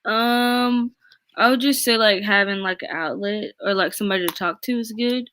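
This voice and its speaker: A woman in her late teens talking on a phone, casual and hesitant, filling pauses with "like" and "um". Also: medium pitch, fairly bright tone, clean tone, dry recording. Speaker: guest.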